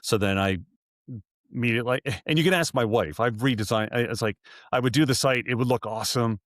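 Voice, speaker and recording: A man's voice: mid-range voice, fairly quick, no echo, clean studio sound. A man in his forties, a sports arena announcer, chatting in an interview, rambling and correcting himself with little chuckles. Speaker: arena PA announcer, podcast guest. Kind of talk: casual interview chat.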